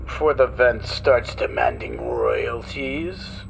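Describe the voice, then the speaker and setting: A man hosting a horror radio show, reading creepy stories in a slow, eerie, dramatic way, with music playing under his voice. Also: medium pitch, huge pitch swings, creaky, a little hiss or hum. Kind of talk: scripted horror narration.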